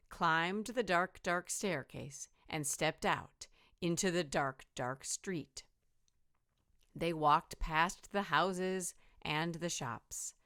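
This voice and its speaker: Female teacher as story narrator: slightly low voice, deliberate rhythm, expressive read-aloud for children.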